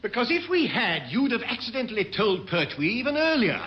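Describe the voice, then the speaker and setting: An actor playing an exasperated, officious naval officer with a clipped, dry manner in an old radio sitcom. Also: male voice, very high voice, big pitch swings, fairly quick, dull audio.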